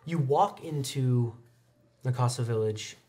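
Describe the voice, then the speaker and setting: A young man improvising descriptive tabletop roleplay narration as game master, halting now and then to find his words. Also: medium pitch, a little mellow. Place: indoor studio set, seated at a desk. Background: music.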